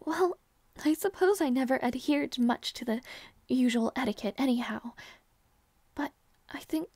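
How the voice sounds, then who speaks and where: A woman voicing a shy, flustered young princess who talks softly and hesitantly, often in a whisper and with stammers. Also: sits high, expressive, a little slow, no echo, heavily acted. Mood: shy, nervous. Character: shy, flustered young princess waking beside her knight. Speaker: audio roleplay performer. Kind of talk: intimate audio roleplay.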